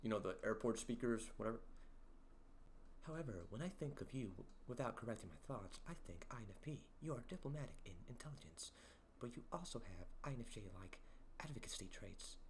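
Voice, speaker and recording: A young man hosting a casual livestream, reading chat aloud and joking around, with a deep voice. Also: animated, brisk, slight background noise. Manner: playful, jokey, sings snippets and reads chat aloud. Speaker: livestream host.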